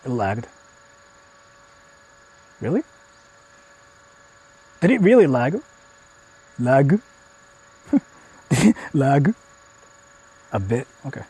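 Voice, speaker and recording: Young male streamer, lively husky voice, casual and profane, phone-mic outdoor live stream with insects audible behind him. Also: mid-range voice, extremely slow, pauses often, rough recording. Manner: chatty, talks to viewers, frequent swearing and fillers. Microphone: phone held while streaming.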